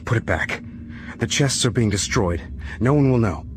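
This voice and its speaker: A man's voice: fairly low pitch, expressive, normal speed, close to an everyday voice. A man playing a protective imprisoned father in a radio drama, speaking in terse, urgent phrases. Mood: urgent, alarmed.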